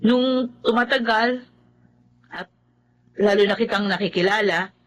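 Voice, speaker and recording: An actress playing a frank, kind young friend who keeps the peace, speaking earnestly with a Filipino accent in a TV drama. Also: female voice, mid-range voice, unhurried, lightly acted. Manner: earnest, gently admonishing.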